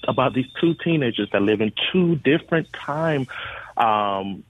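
A man's mid-pitched voice comes over a dull phone line with some faint noise. He is an interview guest, speaking informally and hesitantly as he explains his work. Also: lively intonation, moderate pace. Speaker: theater education director, interview guest. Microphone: telephone.

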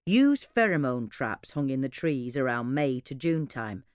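Female podcast reader, low register with lively intonation, scripted informative delivery, measured and articulate. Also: clean tone, no room sound, studio quality. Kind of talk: scripted informative narration of gardening advice.

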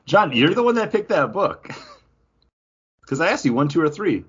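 A man's voice: a little high, sing-song, moderate pace, fairly bright tone, breathy edge, highs cut off. A man hosting a podcast in a casual, chatty style. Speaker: podcast host. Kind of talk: casual chat.

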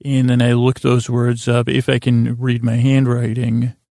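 Creaky, meandering man, close and dry. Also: medium pitch, monotone, warm tone, dry recording. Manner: halting, drawn-out, with long pauses and circling digressions. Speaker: podcast host. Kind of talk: rambling, meandering bedtime monologue.

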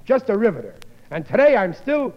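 High-pitched, blustering radio dad. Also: male voice, very animated, a little fast. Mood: defensive, proud. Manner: stammering, indignant, blustery. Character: blustering, hapless working-class family father. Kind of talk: 1950s radio sitcom acting. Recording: muffled old radio broadcast, reverberant.

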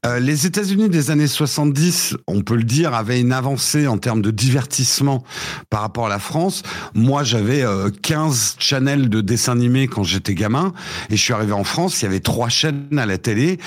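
A man in his fifties, raised in the United States with English as his first language, tells his childhood story in a lively, stop-and-start way. His voice sits at an ordinary middle pitch. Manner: animated storytelling with frequent restarts. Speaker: guest. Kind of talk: casual interview chat.

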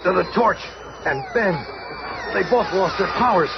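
An actor playing a calm, thoughtful male scientist who leads a superhero team in a 1960s cartoon. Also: high-pitched, unhurried, creaky, over a noisy crowd. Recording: dull lo-fi old broadcast, noisy.

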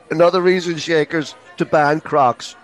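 A man's voice: fairly high pitch, steady tone, takes it slowly, soft background music. A man co-hosting a comedy podcast, telling bawdy stories crudely and with theatrical emphasis. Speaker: podcast co-host, comic storyteller. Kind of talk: bawdy comic storytelling.

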